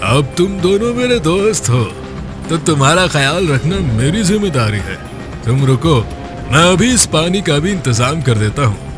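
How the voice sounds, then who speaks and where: A man's voice: a little high, sing-song, moderate pace, over music. A man voicing a kindly, generous magic blanket in a cartoon story, with a reassuring, expansive manner. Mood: jolly, generous. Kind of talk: animated moral story voice acting.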